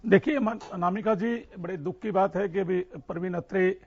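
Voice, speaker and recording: A man's voice: high voice, normal speed, gravelly, muffled recording. A man speaking as a farmer leader in an impassioned political debate, insistent and repeating phrases for emphasis.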